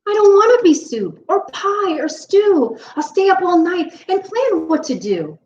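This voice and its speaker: A woman's voice: very high-pitched, sing-song, measured pace, darkish tone, voice pushed up, full character voice. A woman voicing a cheerful, kind young male pumpkin who loves fun, speaking in a bubbly, eager way. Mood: anxious. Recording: roomy, slightly dull recording.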